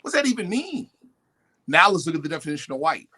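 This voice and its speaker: An emphatic man explaining his points in a lively voice that swings widely in pitch. His tone is slightly husky, and he sits a little high for a man. He keeps pressing his points and mimics other people as he talks. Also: fast. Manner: animated, emphatic, quotes definitions and mimics others. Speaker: guest speaker. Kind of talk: lecturing talk-show discussion.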